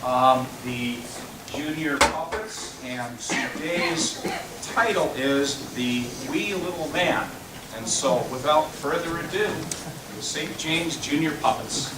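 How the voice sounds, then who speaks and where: A man introducing a puppet show in a halting, unhurried way. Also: a little high, distant mic. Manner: slow and halting. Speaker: show announcer. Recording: echoey room from afar, loud noise.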